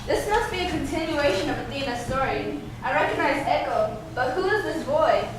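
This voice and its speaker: A woman's voice: high voice, lively intonation, normal speed. A woman playing an awestruck villager in a readers theatre performance, marveling in a declamatory way. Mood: admiring. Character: awestruck villager judging a weaving contest. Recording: echoey room, distant mic, noisy.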